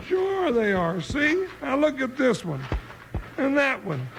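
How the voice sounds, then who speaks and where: Casting: loyal, talkative comic cowboy deputy, squeaky-high and crackly voiced, rustic drawl, old radio western. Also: male voice, big pitch swings, slow pace, a bit bright, heavily acted. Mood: puzzled. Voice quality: high-pitched, gravelly. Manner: folksy drawl with rustic grammar, blustering. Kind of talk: 1950s radio western acting. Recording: noisy 1950s radio recording.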